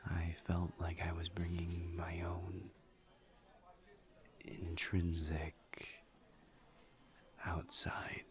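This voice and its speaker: A man playing a gentle, guarded incubus in an intimate audio roleplay, murmuring breathily and haltingly with careful pauses. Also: completely flat delivery. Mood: hesitant, thoughtful. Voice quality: breathy, creaky, low murmur. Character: gentle, guarded male incubus demon, earnest and reserved. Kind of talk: intimate roleplay audio drama, partly whispered.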